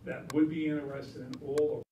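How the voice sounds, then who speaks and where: Man at a podium mic, council chamber, measured, hesitant ums, faint room noise. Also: fairly high pitch, little pitch movement, gravelly. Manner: measured, lots of ums, self-deprecating asides. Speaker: city official presenting to council. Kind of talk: formal public meeting remarks. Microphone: podium microphone.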